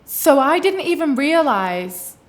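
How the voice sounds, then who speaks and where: A woman's voice: high-pitched, big pitch swings, slow. A young woman from Manchester, a playwright guest on a podcast, talking informally with frequent "like" fillers, casual swearing and wry remarks. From Manchester, England. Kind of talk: casual interview chat.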